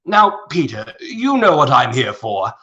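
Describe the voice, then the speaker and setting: A man's voice: mid-range voice, very animated, unhurried, bright, forceful tone, muffled recording. A man playing a mean, haughty rich old villain in a radio play, sneering and imperious. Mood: cold contempt.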